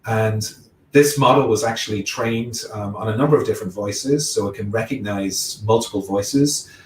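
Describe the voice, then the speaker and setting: A man's voice: on the low side, normal speed, roomy sound, distant mic, a little hiss or hum, poor audio. A man with a mixed Irish, Welsh, English and American accent, giving a technical talk as guest speaker and explaining ideas step by step. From Ireland, Wales, England and the United States. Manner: explanatory, walking through examples step by step.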